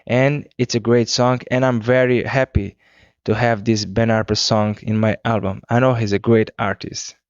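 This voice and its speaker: A Cape Verdean man whose first language is Creole, answering interview questions in English with unhurried speech, searching for words. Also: mid-range voice, level tone, no room sound. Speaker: guest singer-songwriter. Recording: muffled 2009 radio interview.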